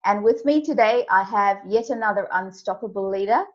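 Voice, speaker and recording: A woman's voice: medium pitch, a little fast. A woman hosting a podcast interview, introducing her guest with enthusiasm and lots of praise. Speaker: podcast host. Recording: dull lo-fi sound.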